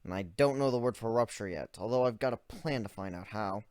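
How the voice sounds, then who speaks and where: A man narrating a first-person fantasy story, measured, dropping low and quiet at tense moments. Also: no echo, studio quality.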